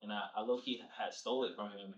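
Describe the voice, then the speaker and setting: A man's voice: fairly low pitch, steady tone, quick pace, lo-fi sound. A young man who grew up in New Jersey, talking casually and laughing easily as a guest in an interview. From Nigeria, raised in New Jersey. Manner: laid-back, slangy, laughs easily. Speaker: rapper guest. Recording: distant mic, roomy echo.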